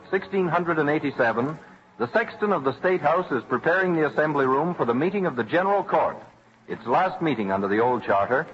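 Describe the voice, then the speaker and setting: An actor narrating a historical radio drama in a solemn, scripted old-time style. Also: male voice, fairly high pitch, a little hiss or hum, lightly acted. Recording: muffled old radio recording. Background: faint music.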